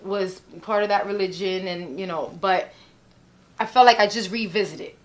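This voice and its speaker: A woman's voice: mid-range voice, moderate pace. A woman, chatting casually as a podcast guest, full of you-knows and likes. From New York, Spanish Harlem. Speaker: guest singer.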